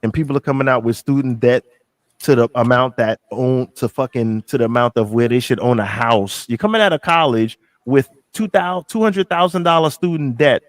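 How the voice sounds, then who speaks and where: A middle-aged man from New York, talking casually on a podcast, animated and emphatic, repeating himself and swearing freely. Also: mid-range voice, a little fast, no echo. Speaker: podcast co-host.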